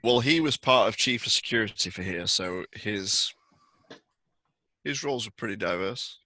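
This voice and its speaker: A man's voice: lowish voice, moderate pace, bright, forceful tone, no echo. A man running a tabletop role-playing game as game master in a casual, conversational way.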